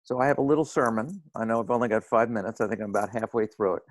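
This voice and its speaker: An older man with a hoarse voice from laryngitis, giving an earnest, wry talk on a video call. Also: fairly low pitch, very fast, no echo. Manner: earnest, slightly preachy, wry. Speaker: guest speaker. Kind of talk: roundtable talk.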